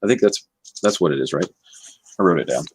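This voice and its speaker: Low-voiced man chatting about a book. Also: lively intonation, slightly rough. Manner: halting, searching for words. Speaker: book discussion host. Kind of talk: casual book discussion.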